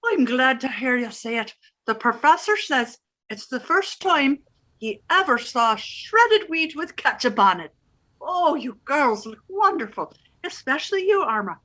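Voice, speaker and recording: A bright, high, sing-song older Irish landlady, played by a woman. She chatters coyly and theatrically, swooping up and down as she gossips in old-time radio comedy fashion. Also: sing-song, a little slow, heavily acted, low-quality recording. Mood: chatty. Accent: put-on Irish.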